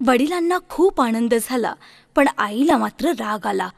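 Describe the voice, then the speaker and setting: A woman's voice: on the high side, animated, unhurried, vocal fry, no echo, studio quality. A woman reading a scripted fairy tale for children as the storyteller.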